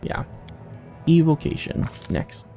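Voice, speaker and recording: A man's voice: slow, warm tone. A man giving casual playthrough commentary on a game, muttering to himself as he decides. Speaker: gaming video host. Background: game music.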